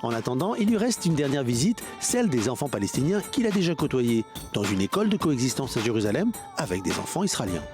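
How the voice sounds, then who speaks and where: A male reporter reading scripted news narration for a feature segment in a measured, expressive way. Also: mid-range voice, music in the background.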